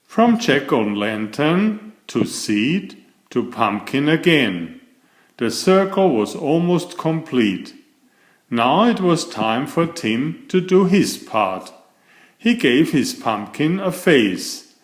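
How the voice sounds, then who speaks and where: Slow, lilting male storyteller in echoey room. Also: a little high, big pitch swings. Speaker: storyteller, children's author and channel host. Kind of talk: read-aloud children's bedtime story narration.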